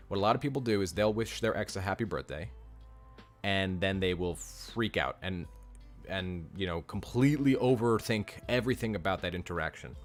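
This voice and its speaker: Young male coach, deep low voice, blunt and repetitive, casual live-stream advice, faint music under it. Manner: blunt, direct advice, repeats key points.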